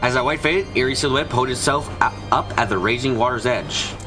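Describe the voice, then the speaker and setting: Male narrator, mid-pitched, brisk pace, dramatic scripted storytelling with music clearly underneath. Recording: music behind the voice. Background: clear background music.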